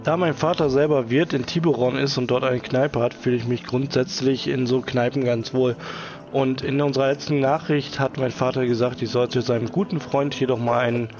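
A man's voice: mid-range voice, fairly even intonation, fairly quick, lightly acted. A man playing a friendly, chatty young tavern helper with a salesman's formality in an improvised pirate audio adventure. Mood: friendly, helpful. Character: friendly young male tavern helper, eager beer seller. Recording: dull lo-fi voice track under loud music.

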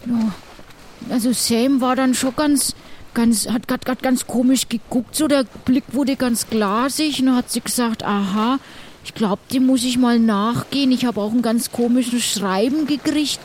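Woman as a high-voiced, chatty pirate crew member. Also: unhurried, darkish tone. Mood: puzzled. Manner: colloquial, rambling. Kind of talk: improvised tabletop roleplay.

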